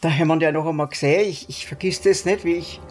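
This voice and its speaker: A man's voice: fairly high pitch, very fast. A man giving a spoken recollection as a witness in an interview. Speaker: contemporary witness. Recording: music behind the voice.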